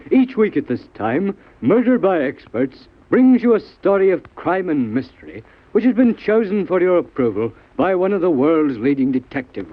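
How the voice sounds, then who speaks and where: A male host reading a scripted introduction in 1940s radio style. His voice is fairly high and moves in lively swoops, on a dull old broadcast recording. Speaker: host and narrator.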